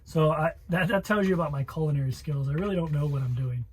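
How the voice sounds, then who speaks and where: A man's voice: fairly high pitch, fast talker, a little hiss or hum. Self-deprecating man with a Missouri accent. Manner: rambling, self-deprecating, narrating as he works. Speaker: vlogger. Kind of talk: casual chat while cooking. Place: inside a camper van.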